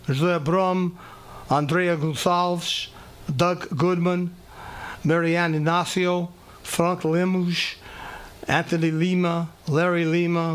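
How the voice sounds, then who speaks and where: An American man with a somewhat high voice, a native English speaker of Portuguese descent, gives a radio studio interview in unsteady Portuguese. He halts, searches for words and slips into English now and then. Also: clear, resonant tone. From California, third-generation Portuguese-American. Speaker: festival committee guest.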